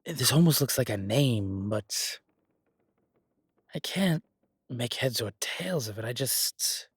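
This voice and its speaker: A man playing a strong, scholarly demon in a horror roleplay audio, explaining things in a deliberate, halting, pedantic way. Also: medium pitch, lively intonation, slightly rough. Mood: pondering, baffled. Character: strong, scholarly male demon, exasperated occult lecturer. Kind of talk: immersive one-sided roleplay audio drama.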